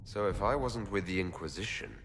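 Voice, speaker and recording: Lively-pitched skeptical male elf hero, reverberant. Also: brisk. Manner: questioning, wry, blunt. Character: skeptical male Dalish elf adventurer, plain-spoken reluctant hero. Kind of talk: scripted fantasy game acting. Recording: long reverb, background noise.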